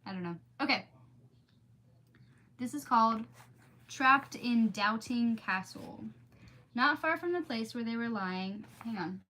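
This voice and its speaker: A woman's voice: fairly high pitch, lively intonation, measured pace, pauses often, sharp tone. A woman livestreaming in a chatty, informal, easily sidetracked way as she reads aloud and talks to viewers. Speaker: livestream host reading aloud. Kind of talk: casual livestream chat and storybook read-aloud.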